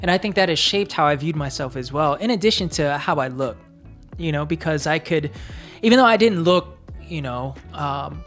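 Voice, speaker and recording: Brief: deep-voiced US woman, reflective, filler-heavy casual podcast co-host. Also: animated, normal speed, faint music behind. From United States. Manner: reflective, talks in long searching sentences with many fillers. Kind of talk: casual chat.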